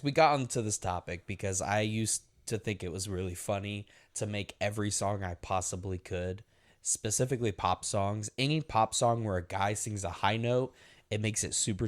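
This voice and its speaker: A young man co-hosting a podcast, talking casually into a close mic. His voice sits a little low, and he laughs easily and trips over his restarts. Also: steady tone, moderate pace. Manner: laughs often, restarts sentences. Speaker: podcast co-host. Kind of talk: casual chat.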